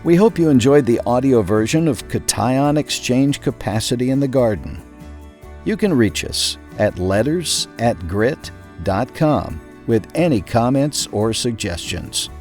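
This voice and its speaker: A man reading a scripted magazine article aloud in a measured, clearly emphasized narrator's delivery. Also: mid-range voice, animated, darkish tone, faint music behind.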